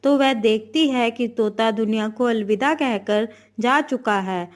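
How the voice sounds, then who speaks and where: A woman's voice: a little high, unhurried. A woman narrating a scripted moral tale for a cartoon as the storyteller.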